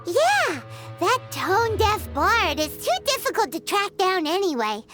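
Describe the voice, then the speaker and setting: A woman voicing a tiny, excitable fairy companion girl in a video game, chattering eagerly and talking about herself in the third person. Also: very high voice, measured pace, over music, heavily acted. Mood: chattering curious.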